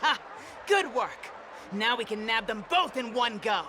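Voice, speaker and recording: A man's voice: very high-pitched, lively intonation, measured pace, background noise. A man voicing a cocky, dim and eager patrol goon in a game. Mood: gloating. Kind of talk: game voice acting.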